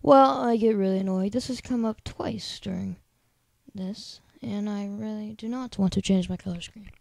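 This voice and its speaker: Squeaky high-voiced guy, chatty. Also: fairly even intonation, moderate pace, vocal fry, a little mellow, no echo. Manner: rambling, chatty, lots of filler words. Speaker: tutorial host. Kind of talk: casual screen-recording tutorial.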